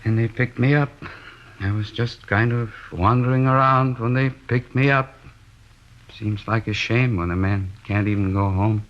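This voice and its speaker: Dull old radio recording of an actor as a middle-aged American working man worn down by his wife. His low voice is plaintive and weary. He rambles and hesitates, unable to make up his mind, in 1950s radio play style. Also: fairly even intonation, unhurried, close to an everyday voice. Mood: downcast, weary. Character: henpecked middle-aged plasterer, weary, plaintive, indecisive husband. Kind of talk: 1950s radio drama acting.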